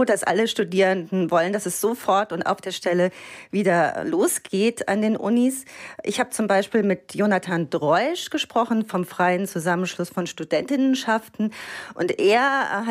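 Woman reporter in a podcast interview, explanatory and measured, with frequent hesitation fillers. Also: mid-range voice. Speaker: education journalist. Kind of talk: interview answers.

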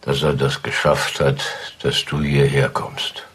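A man's voice: a bass voice, level tone, unhurried, fairly bright tone, husky, full character voice. An actor playing a skeptical man who answers in a dry, doubting way in a film scene.

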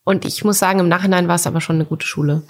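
A woman in her forties from Coburg, a guest speaking in a relaxed interview, thoughtful and hesitant, with many fillers. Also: fairly low pitch, rapid-fire. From Germany, Coburg. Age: 40s. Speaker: podcast guest, art collector.

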